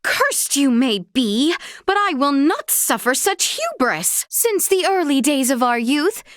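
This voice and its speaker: A woman's voice: high voice, sing-song, unhurried, no room sound, studio quality. A woman voicing an earnest, courteous young noblewoman warrior in a video game, with formal, old-fashioned, genteel phrasing. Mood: firm, resolute. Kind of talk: scripted video game character voice lines.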